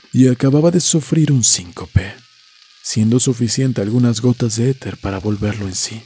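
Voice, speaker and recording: A man reading a scripted horror story in a measured, literary storytelling manner. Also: lowish voice, warm tone. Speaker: narrator. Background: music.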